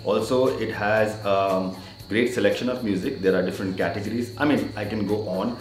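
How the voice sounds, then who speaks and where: A man's voice: lowish voice, normal speed, reverberant. A man in his thirties talking to camera in an upbeat, chatty, emphatic way, as a casual video host. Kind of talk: casual vlog talk to camera, giveaway announcement. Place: indoor room with shelves. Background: faint background music.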